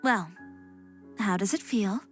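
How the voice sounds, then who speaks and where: A woman voicing an assertive, composed young fashion designer in a video game, speaking in a measured, self-assured way. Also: medium pitch, fairly bright tone. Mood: calm, reflective. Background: music.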